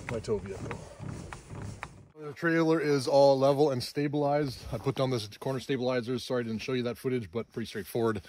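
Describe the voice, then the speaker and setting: A middle-aged man explains gear step by step in a casual, conversational walkthrough, filming himself outdoors at arm's length. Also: medium pitch. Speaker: presenter demonstrating a camper trailer. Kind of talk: casual instructional walkthrough vlog. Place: snowy outdoor campsite, briefly inside small trailer. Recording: clearly noisy outdoor selfie recording, clicks.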